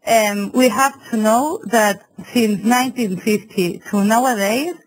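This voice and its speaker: Halting woman presenting. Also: on the high side, a little hiss or hum, poor audio. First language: not English. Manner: halting, searching for words. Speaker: city council technician, presenter. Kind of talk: webinar presentation.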